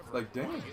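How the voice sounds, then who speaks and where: A man chatting casually about a film, emphatic and slangy with frequent swearing. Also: very high-pitched. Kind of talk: casual chat about a film while gaming. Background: crowd noise and basketball bouncing from a game.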